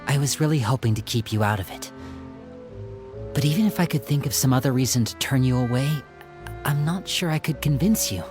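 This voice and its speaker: Man acting an earnest, polished young brother, mid-pitched. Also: over music, close to an everyday voice. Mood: grateful, resolute. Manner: articulate, measured, courteous. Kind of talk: dramatic game voice acting.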